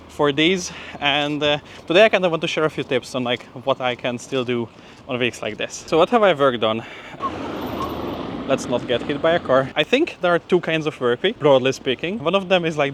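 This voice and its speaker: A man's voice: fairly high pitch, a bit bright. A young man talking casually to camera while walking, slightly out of breath, with informal phrasing full of "like" and "you know". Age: young adult. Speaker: PhD student vlogger. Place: outdoors on a street, walking. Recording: clear outdoor street and traffic noise.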